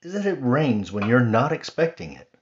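A fussy, grumbling bunny from a storybook, raised in pitch and pushing hard on his complaints, put on by a male reader. His voice swings up and down a lot. The recording sounds dull and lo-fi. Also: big pitch swings, moderate pace, close to an everyday voice. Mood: grumpy. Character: grumpy complaining male rabbit, small.

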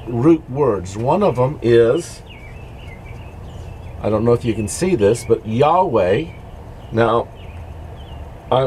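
A man in his fifties, a native English speaker, giving an informal devotional talk to camera in a halting, explanatory way. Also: medium pitch, darkish tone. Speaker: devotional vlogger. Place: dark greenhouse. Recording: noisy amateur recording with background ambience.

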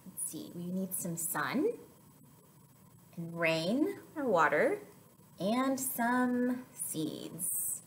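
A woman's voice: medium pitch, extremely slow, long pauses, a little hiss or hum, low-quality recording. A young woman hosting a children's story time, reading and chatting to young children in a sing-song, questioning way. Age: young adult. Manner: animated, sing-song, prompting listeners with questions.

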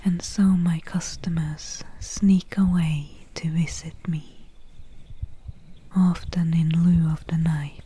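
Woman acting a whispering, breathy swamp witch, very slow. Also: fairly low pitch, little pitch movement, heavily acted. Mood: secretive, inviting. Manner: slow, hushed, deliberate storytelling. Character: soft-spoken female swamp witch, secretive, helpful healer. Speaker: ASMR roleplay performer. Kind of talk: soft-spoken whispered ASMR roleplay.

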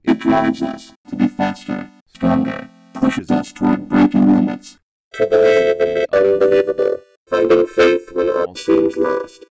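A man's voice: deep voice, big pitch swings, unhurried, vocal fry, over loud music, low-quality recording. A man reading a dramatic motivational voice-over through a robotic synth vocoder. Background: synthesizer tones.

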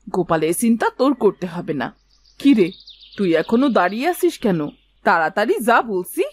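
Woman acting harsh, scolding village aunt, animated pitch. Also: a little fast, dry recording. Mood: bossy. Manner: sharp, accusing, bossy. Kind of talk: animated moral story acting.

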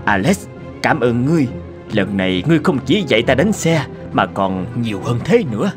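A man's voice: mid-range voice, sing-song, normal speed, natural voice. A man playing a proud king in a cartoon folk tale, speaking in a stately, emphatic, reflective way. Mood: reflective, grateful. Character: proud, competitive bearded king, humbled and reflective. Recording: prominent music behind the voice.

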